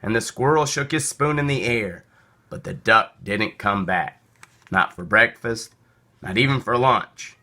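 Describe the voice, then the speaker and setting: A man reading a children's story aloud in a theatrical, deliberate narrating style. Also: lowish voice, pushed and bright. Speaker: storybook reader.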